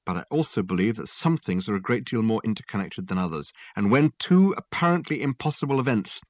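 A man's voice: on the low side, expressive, brisk, dry recording, studio quality. A man voicing a pompous, theatrical detective in a storybook, with elaborate, insistent phrasing. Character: pompous, theatrical, probing male private detective. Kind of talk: audiobook character dialogue.

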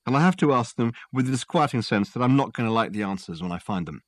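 A man doing a gruff, blustering police sergeant. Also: mid-range voice, lively intonation, fast, no room sound, close to an everyday voice. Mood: exasperated. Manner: loud, sarcastic, threatening.

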